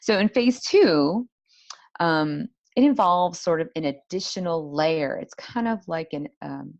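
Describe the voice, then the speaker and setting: A woman with a low-pitched voice gives an academic talk for an online conference. She explains step by step like a language instructor and poses questions as she goes. The sound is a little dull and lo-fi. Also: creaky, dry recording. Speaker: presenter, university Italian language teacher. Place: indoor room, online symposium talk.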